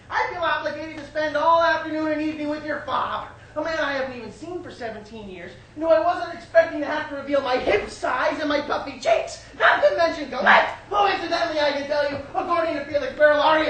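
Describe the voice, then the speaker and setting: A woman's voice: animated, fairly quick, few pauses, fairly bright tone. Woman performing an older mother, self-pitying, melodramatic, widely swooping delivery, stage monologue, distant mic. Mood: indignant. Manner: shrill guilt-tripping, melodramatic, wounded. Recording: echoey stage recording, distant mic, noisy.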